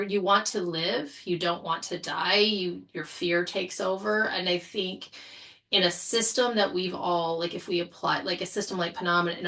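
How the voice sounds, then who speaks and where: A woman speaking as a panel guest in a casual, reflective discussion, earnest and halting as she thinks aloud. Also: lowish voice, a bit bright.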